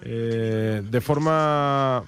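A man with a Castilian Spanish accent giving a live sports news report in a measured, formal, explanatory way. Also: medium pitch, a bit bright, smooth.

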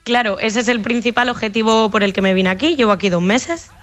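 A woman's voice: fairly high pitch, brisk, faint music behind. A young woman from Extremadura with a Madrid-tinged Spanish accent, chatting on a call about her au pair work. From Spain, Extremadura. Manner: chatty, lively storyteller, laughs often. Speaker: au pair calling in. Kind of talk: casual chat.

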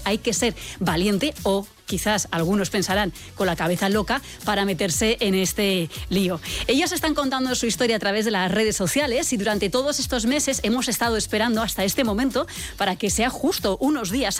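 Female radio host, Castilian accent, chatty and informal, medium pitch, light music bed underneath. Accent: Castilian Spanish. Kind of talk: conversational live radio interviewing.

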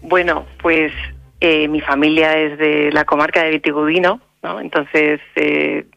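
A woman's voice: deep voice, level tone, a little slow, creaky, fairly bright tone, no room sound, highs cut off, faint music behind. A woman from Salamanca, a social worker, answering interview questions hesitantly with restarts and tag questions. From Salamanca, Spain. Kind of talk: radio interview.

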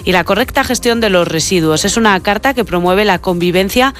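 A man co-hosting a regional radio magazine, smoothly reading scripted news links and interviewing guests. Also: high-pitched, fairly quick, a bit bright, faint music behind. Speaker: radio co-host. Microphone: studio microphone.

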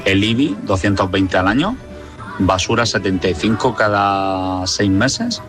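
A man's voice: lowish voice, lively intonation, a little slow, a bit bright, soft background music. A man leaving a voice message, methodically listing his monthly costs. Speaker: listener.

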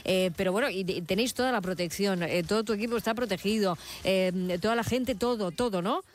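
A woman's voice: medium pitch, fairly quick. A woman with an accent from Spain hosting live radio in a chatty way, often repeating phrases for emphasis. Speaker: radio host.

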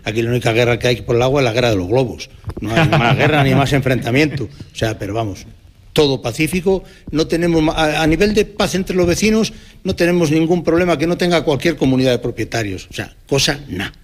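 A man's voice: mid-range voice, fairly quick, a little hiss or hum. An older man, retired, with a Spanish accent from Spain, answering casually as an interview guest and justice of the peace. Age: 60s or older.

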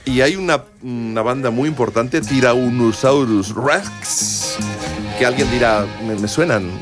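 Hombre español con música de fondo. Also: male voice, mid-range voice, a little slow. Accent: Spanish from Spain. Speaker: radio music presenter. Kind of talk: informal radio music storytelling. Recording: music behind the voice. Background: music.

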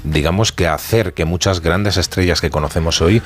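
A man with an exceptionally low, deep voice hosts a relaxed conversational interview. He is attentive, often murmuring agreement, and words his questions with some hesitation. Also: a little fast, soft background music. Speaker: interviewer, show host.

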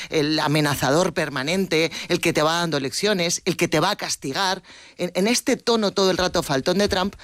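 A man with a fairly high voice speaks as a radio panel commentator, recorded dry and close. He argues emphatically, stacking one descriptive word on another. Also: fairly bright tone, no echo. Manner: emphatic, piles up synonyms. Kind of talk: opinionated political commentary. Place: radio studio.

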